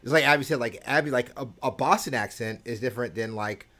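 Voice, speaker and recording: A man's voice: mid-range voice, quick pace, bright, forceful tone. A man co-hosting a podcast, talking casually and hesitantly, with many fillers and restarts. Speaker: podcast co-host. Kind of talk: casual chat.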